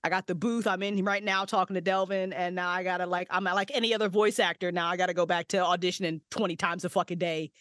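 A woman's voice: fairly low pitch, little pitch movement, fast, few pauses, a bit bright, no echo, clean studio sound. A woman guest on a podcast, chatting casually and animatedly, swearing freely and laughing often, recorded in a home booth. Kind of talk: casual chat.

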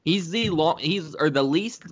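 A man's voice: on the high side, expressive, unhurried, slightly rough, dull audio. A man co-hosting a casual podcast chat, arguing his picks point by point. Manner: argues his case in points.

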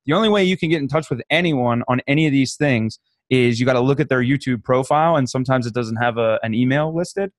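A man's voice: mid-range voice, fast talker, no room sound, studio quality. Joking, profane male podcast host. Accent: Pittsburgh. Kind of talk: casual podcast banter.

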